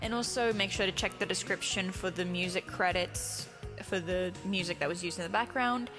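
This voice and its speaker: A woman's voice: on the low side, moderate pace. A woman who reads her own horror fan story as narrator and chats casually as its author. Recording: music under the voice.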